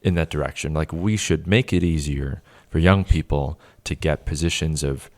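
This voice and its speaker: A man's voice: rumbling low, normal speed, a little mellow. A young man from New Hampshire hosting a podcast interview, asking long, rambling questions full of fillers. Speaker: podcast host. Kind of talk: casual conversational interview.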